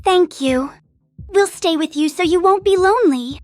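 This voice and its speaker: A woman's voice: very high voice, normal speed, soft background music. A woman voicing a sweet, kind little girl rabbit with a polite, pleading cartoon delivery. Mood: grateful. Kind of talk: cartoon dialogue.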